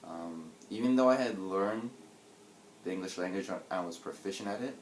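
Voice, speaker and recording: Deep-voiced young man, reflective and hesitant. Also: normal speed, roomy sound, a little hiss or hum. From United States. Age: 20s. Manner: reflective, hesitant, with fillers and restarts. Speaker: interviewee. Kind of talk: casual interview answers.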